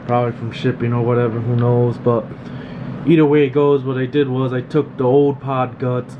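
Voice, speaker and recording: A man's voice: mid-range voice, normal speed. Man narrating casually over noisy, dull audio. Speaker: repair technician demonstrating his work. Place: indoor electronics workshop. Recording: slightly dull, noisy hum of room. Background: steady background noise.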